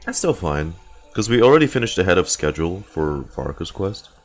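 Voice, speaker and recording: A man's voice: low-pitched, big pitch swings, fairly quick, soft background music. A man chatting casually on a game livestream, rambling as he thinks aloud and swearing now and then. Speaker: game streamer. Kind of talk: casual livestream chat while gaming.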